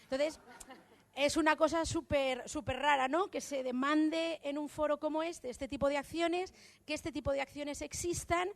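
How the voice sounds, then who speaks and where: A woman's voice: sits high, fairly even intonation, moderate pace. A woman from youth services asking a rambling, hesitant question from the audience at a conference. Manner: rambling, hesitant with many fillers. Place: conference hall.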